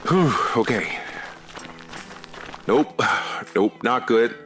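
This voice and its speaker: Man acting young nerdy sidekick runner, earnest, informal, animated intonation, horror audio drama, music clearly behind. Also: medium pitch, very slow. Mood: queasy. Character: young man, nerdy cryptid-obsessed runner, loyal supportive friend.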